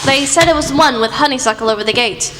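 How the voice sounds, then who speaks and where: A woman's voice: sits high, fast, natural voice. A woman acting in an amateur radio play as a proper, easily outraged lady doctor, exclaiming in an old-fashioned, blustering way. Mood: polite, flustered. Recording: echoey hall with clear background noise.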